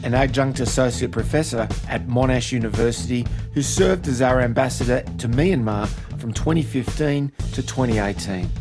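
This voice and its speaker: A man's voice: medium pitch, moderate pace, hardly any pauses. Probing male radio host over music. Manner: probing, pointed questions. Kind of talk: radio interview and show wrap-up.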